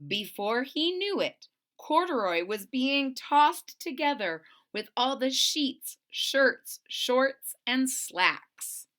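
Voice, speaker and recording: Expressive, slow-paced woman storyteller. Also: sits high. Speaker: read-aloud storyteller. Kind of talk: expressive children's story reading with simple recaps.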